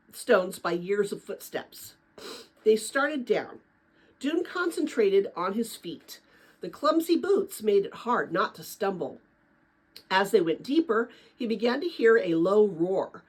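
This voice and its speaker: An older woman, a retired teacher, with a chatty, animated way of talking, chatting informally and reading a children's book aloud. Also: mid-range voice, rough recording. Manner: chatty, animated, rambling with personal asides. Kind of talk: informal chat and storybook read-aloud.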